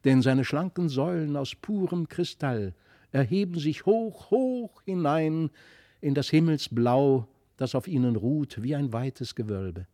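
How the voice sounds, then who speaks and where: A man voicing a mysterious, gentle fairy child in an earnest, lyrical way for a storybook reading. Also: fairly high pitch, animated, unhurried, no echo, clean studio sound. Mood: proud, wondering. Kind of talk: literary audiobook reading.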